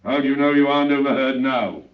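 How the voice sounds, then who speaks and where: An actor playing an imperious, scornful anarchist president with pompous, sarcastic courtesy, in 1930s radio drama style. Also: male voice, mid-range voice, fast, distant mic, lightly acted. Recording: muffled, phone-like old 1930s radio recording.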